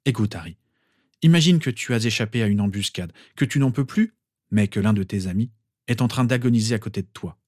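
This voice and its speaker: A man's voice: lowish voice, animated, brisk, a little mellow. A man voicing a stern, protective father and teacher in an audiobook, firm and lecturing. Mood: firm, instructing.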